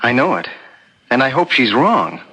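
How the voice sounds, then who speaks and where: A man's voice: mid-range voice, very animated, moderate pace, sharp tone, slightly rough, heavily acted. An actor in a 1970s radio drama playing a puzzled, conscientious man who speaks in a hesitant, earnest, questioning way. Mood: troubled. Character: uneasy, puzzled writing adviser Mister Monroe, conscientious man. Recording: noisy old radio broadcast recording.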